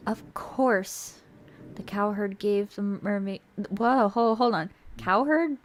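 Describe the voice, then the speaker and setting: A woman's voice: mid-range voice, slow, a little hiss or hum. A woman streamer reading a visual novel aloud and chatting casually between passages. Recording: music faintly behind the voice. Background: music.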